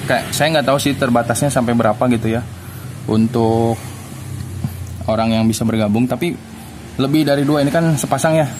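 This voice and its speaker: A man giving a casual hands-on unboxing review, informal and halting as he searches for words. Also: medium pitch, noisy background. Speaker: product reviewer.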